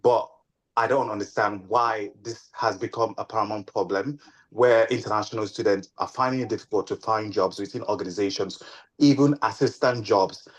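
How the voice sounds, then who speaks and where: A man serving as a panel moderator, asking long questions. He talks haltingly, often restarting and repeating words. His voice sits fairly low. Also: fairly even intonation.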